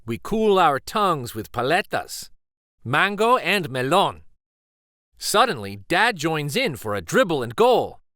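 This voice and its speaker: Bright, animated male storyteller, unhurried. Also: on the high side, dry recording, clean studio sound. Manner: deliberate, animated storytelling delivery. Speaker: children's read-aloud storyteller. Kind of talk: expressive picture book narration.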